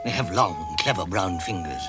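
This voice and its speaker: A wry narrator in an old radio play. He tells the tale deliberately, with animated intonation, while music plays clearly behind him. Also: low-pitched. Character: wry, measured male storybook narrator. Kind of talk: dramatised storytelling, vintage British radio.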